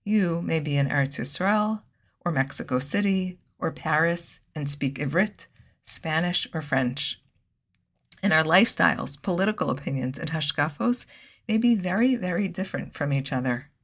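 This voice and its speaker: A woman giving a measured, earnest scripted Torah lesson, weaving in Hebrew terms. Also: sits low, animated. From United States. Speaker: Torah teacher.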